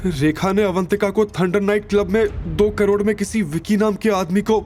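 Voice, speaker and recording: A man's voice: high-pitched, steady tone, normal speed, a little mellow, slight background noise, pitched above the performer's own voice, natural voice. A man voicing a flustered, deferential male assistant in an audio drama, stammering and panicky. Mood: nervous dread. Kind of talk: audio drama character acting.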